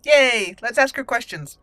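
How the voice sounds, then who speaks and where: A woman's voice: a little high, a bit bright, dry recording. A woman hosting a roleplay let's play, chatty and playful, commenting and reading dialogue aloud. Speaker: gaming channel host.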